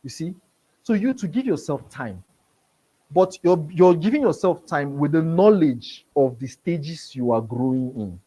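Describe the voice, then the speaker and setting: A man's voice: a little high, expressive, measured pace, dark tone, husky, rough recording. A man with a Nigerian accent who stammers, repeating words as he teaches a live business seminar. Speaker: business trainer. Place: seminar room with a live audience.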